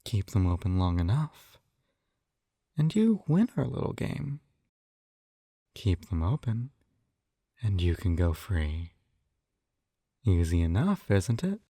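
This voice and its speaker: A man playing a possessive, coaxing hypnotist captor in an intimate ASMR roleplay, with a soft velvety voice and teasing manner. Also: sits low, very animated, no echo, studio quality, exaggerated voice. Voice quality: soft, velvety, breathy whispers at times. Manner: slow coaxing, teasing tag questions.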